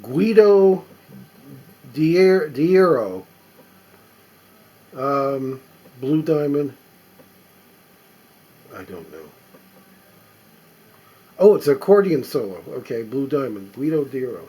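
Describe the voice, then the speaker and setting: A man with a husky, slightly breathy voice, reading record labels aloud as he sorts them. His delivery is halting and unhurried, full of little asides to himself. His pitch sits in an ordinary middle range. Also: animated, mellow, poor audio. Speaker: record collector. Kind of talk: casual running commentary while sorting records.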